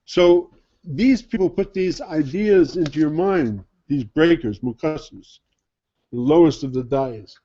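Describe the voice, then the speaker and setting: Man preaching, mellow lo-fi sound. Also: fairly high pitch, a little slow. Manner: conversational, rhetorical questions, mimics others' attitudes. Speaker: Sufi sheikh teaching. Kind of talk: informal spoken sermon. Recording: dull lo-fi recording.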